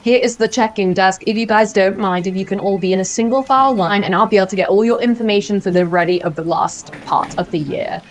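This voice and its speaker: A woman playing a polite, helpful clerk at a school check-in desk in an amateur animated drama. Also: mid-range voice, fast talker, hardly any pauses, slight background noise, close to an everyday voice. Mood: welcoming. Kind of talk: scripted welcome announcement.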